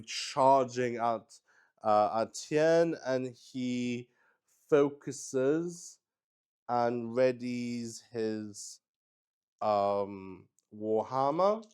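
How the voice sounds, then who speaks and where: A man improvising narration as he plays a solo tabletop roleplaying game, thinking aloud in a halting way. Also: medium pitch, darkish tone, clear, resonant tone. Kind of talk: improvised game narration while rolling dice.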